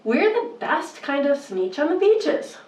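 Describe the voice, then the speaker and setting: A woman's voice: high-pitched, very animated, unhurried, darkish tone, pitched above the performer's own voice. A woman voicing vain, boastful storybook creatures with a haughty, sing-song manner. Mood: haughty bragging. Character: snooty, boastful beach creatures, vain and superior. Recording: roomy, distant microphone.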